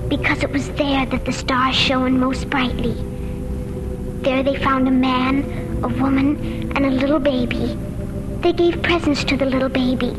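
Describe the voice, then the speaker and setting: A female performer playing an earnest, sweet young farm girl in 1940s radio drama style, speaking in simple careful phrases. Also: high voice. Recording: old radio broadcast, music behind.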